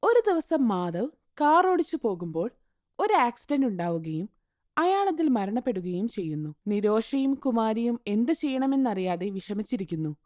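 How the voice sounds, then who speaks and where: A woman narrating a scripted moral story in an expressive, sing-song way. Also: fairly high pitch, dry recording, clean studio sound.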